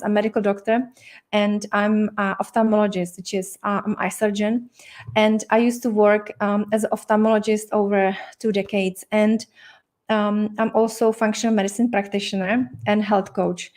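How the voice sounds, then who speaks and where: A middle-aged woman with a Czech accent hosts a live-streamed health workshop. Her pitch sits in the middle and stays fairly level. She talks in a friendly way, often pausing on fillers and starting sentences over. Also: darkish tone. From Czech Republic. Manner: friendly, many fillers and restarts, occasional laughs. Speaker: doctor and health coach hosting an online masterclass. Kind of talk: live-streamed teaching session.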